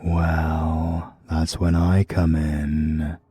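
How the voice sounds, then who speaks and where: A man with a British accent voicing a demonic British gentleman who drawls slowly and menacingly. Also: completely flat delivery, slightly rough, no echo, studio quality, exaggerated voice. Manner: slow, deep, drawling and menacing.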